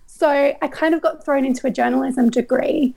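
Young Australian woman, high-pitched with heavy vocal fry and a raspy voice, rambling guest talk full of ums. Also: steady tone, normal speed, warm tone, low-quality recording. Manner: rambling, many ums and likes. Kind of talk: casual interview conversation.

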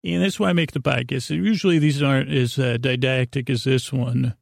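A man hosting a bedtime podcast in a creaky voice, meandering through a goofy, self-deprecating monologue with halting, trailing sentences. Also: mid-range voice, fairly even intonation, no echo, clean studio sound. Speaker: podcast host.

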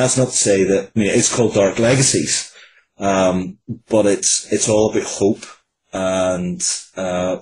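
A man's voice: low-pitched, a little mellow, low-quality recording. A man speaking as a writer being interviewed, explaining things in conversation. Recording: faint room noise.

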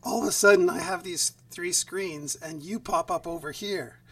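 A man talking as a guest musician in a relaxed interview over a video call, in a quiet, even speaking voice. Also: high voice, moderate pace, far from the mic. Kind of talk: casual interview chat. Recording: voice set back from the mic.

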